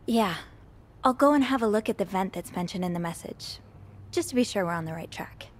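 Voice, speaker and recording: An actress playing a nosy young hotel maid in a narrative game, polite and a little hesitant. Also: female voice, mid-range voice, lively intonation, fairly quick, close to an everyday voice. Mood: intrigued, conspiratorial. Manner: hesitant, polite, stammers when flustered.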